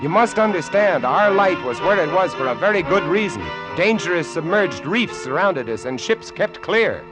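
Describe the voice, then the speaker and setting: Male lighthouse keeper, theatrical vintage radio horror narration, widely swinging pitch, music underneath. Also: very animated, rough recording. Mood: tense, awed. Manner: suspenseful, deliberate, theatrical storytelling. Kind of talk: 1950s radio horror acting, dramatic narration.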